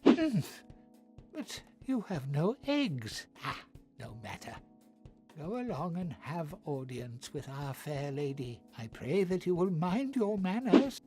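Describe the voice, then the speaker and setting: A man's voice: on the high side, very animated, slow, dark tone, faint music behind. An actor playing a sly, servile guardian of a fair lady in a fantasy video game, mannered and deferential. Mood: condescending, deferential. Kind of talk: video game character acting.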